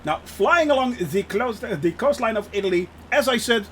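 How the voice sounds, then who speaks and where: High-voiced Dutch man streaming. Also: lively intonation, moderate pace, fairly bright tone, slight background noise. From Netherlands. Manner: chatty, laughs often, replies to chat. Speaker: flight sim streamer. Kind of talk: casual live-stream commentary.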